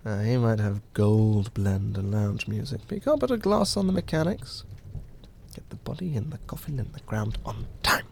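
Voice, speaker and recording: An actor playing a bitter, scornful undertaker in an audio comedy, muttering indignantly in clipped phrases. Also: male voice, fairly low pitch, animated, moderate pace, creaky, background noise. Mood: seething. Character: bitter, scornful undertaker ranting to his pet mouse. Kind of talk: audio sitcom acting.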